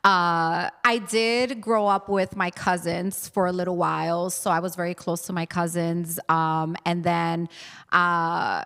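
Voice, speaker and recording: A woman's voice: fairly low pitch, flat delivery, measured pace, vocal fry, sharp tone, clean tone. A woman, a guest telling her story in a candid, chatty way, laughing often and repeating words as she searches. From New York City. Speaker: interview guest. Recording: long echoing reverb.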